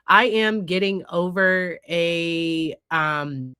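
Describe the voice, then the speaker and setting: Low-voiced nasal woman with a cold. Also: extremely slow, pushed and bright, clean tone, dry recording, clean studio sound. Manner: conversational, hesitant with frequent fillers. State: congested head cold, nasal. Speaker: psychologist host. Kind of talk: casual commentary on news cases.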